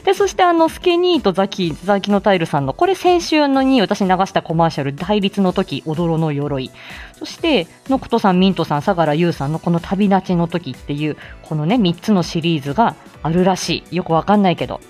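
A woman's voice: on the low side, lively intonation, brisk, a little mellow, faint music behind. A woman hosting a casual solo morning show, chatting to listeners in a hesitant, thinking-aloud way. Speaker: host of a morning audio show.

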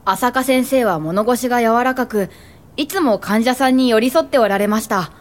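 A woman's voice: on the high side, moderate pace, lightly acted. An actress playing an earnest young woman, a respectful junior colleague, narrating and acting politely in a radio drama. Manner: polite, deferential.

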